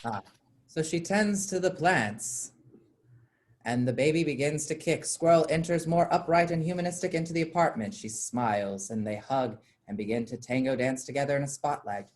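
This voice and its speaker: A woman's voice: a bass voice, normal speed, a little mellow. A woman reading stage directions as the narrator of a staged play, in scripted narration.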